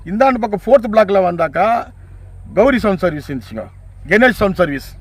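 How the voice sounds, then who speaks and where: A man's voice: high-pitched, expressive, quick pace, dry recording. An older man reminisces casually in an interview as a veteran sound operator, deferential and repetitive as he lists things from memory. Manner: deferential, often addressing listener as sir.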